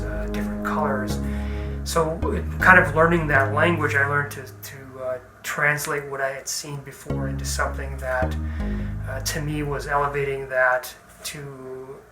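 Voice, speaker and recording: A middle-aged man with a fairly flat, medium-pitched voice speaks as an artist in an informal talk. He is halting and reflective, circling back to rephrase. The room adds noticeable echo, and music plays behind him. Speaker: visual artist. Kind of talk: informal artist talk about own work. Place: indoor art studio. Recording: roomy echo, music underneath. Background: background music.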